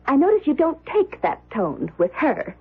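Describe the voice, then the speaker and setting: An actress playing a haughty, jealous, sharp-tongued woman in a radio drama, snappish and accusing. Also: high-pitched, huge pitch swings, normal speed, narrow, tinny audio.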